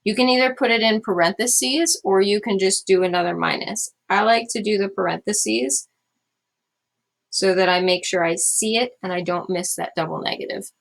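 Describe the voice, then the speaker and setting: A woman's voice: medium pitch, normal speed, creaky. Woman teacher with a scratchy voice. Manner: steady explanatory pace with fillers. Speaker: math teacher. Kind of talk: recorded classroom lecture.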